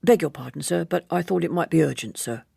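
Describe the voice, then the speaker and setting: A woman's voice: low voice, quick pace, gravelly, warm tone, husky, dry recording, studio quality, heavily acted. A woman voicing a small, deferential Edwardian manservant, apologetic and hesitant, in an audiobook. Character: deferential diminutive male Edwardian butler.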